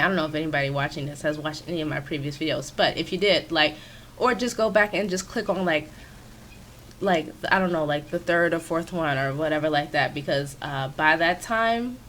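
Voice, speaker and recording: A woman with a raspy, stuffy voice, chatting casually and rambling with many fillers, recorded on a sensitive microphone in her home office. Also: low voice, a bit bright, slight background noise. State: stuffy from sinus trouble. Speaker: video creator. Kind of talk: casual commentary over a speed-build. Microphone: new sensitive desk microphone.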